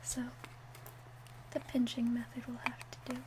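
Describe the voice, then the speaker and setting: A woman playing a gentle, attentive witch in a soft, unhurried whispered ASMR roleplay. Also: fairly high pitch, monotone, noisy background, lightly acted. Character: gentle, attentive witch mixing love potions.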